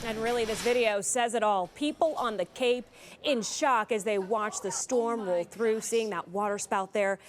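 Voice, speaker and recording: Higher-voiced woman news anchor, faint noise. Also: normal speed. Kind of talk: scripted news introduction.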